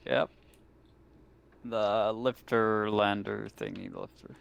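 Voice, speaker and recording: A man's voice: lowish voice, little pitch movement, slow, gravelly, dull audio, a little hiss or hum. A man chatting casually and roleplaying as a player in a tabletop game. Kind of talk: casual chat and in-character roleplay.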